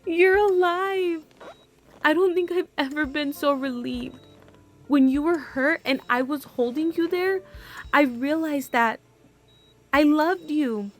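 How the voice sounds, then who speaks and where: A woman's voice: high voice, takes it slowly, faint music behind. A woman playing a devoted, spirited and emotional lover in a radio drama. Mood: relieved, loving.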